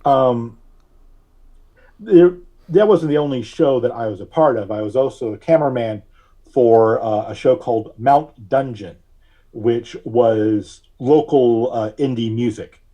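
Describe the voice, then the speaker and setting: A man speaking as a podcast guest, recalling things casually in a halting, deliberate way with frequent long hesitations. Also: medium pitch, dark tone. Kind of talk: casual interview recollection.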